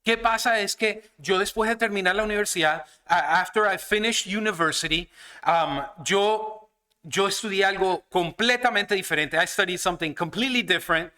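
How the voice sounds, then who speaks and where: A man's voice: high-pitched, moderate pace, sharp tone. A man from Canada, a guest pastor, preaching and praying in a church, switching each phrase between two languages in an earnest, personal manner. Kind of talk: bilingual sermon and prayer. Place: church sanctuary.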